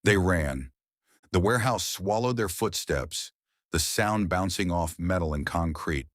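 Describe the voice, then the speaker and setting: A man reading a psychological thriller audiobook with tense, dramatic pauses. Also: deep voice, expressive, dry recording, studio quality. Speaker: audiobook narrator. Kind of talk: scripted thriller narration.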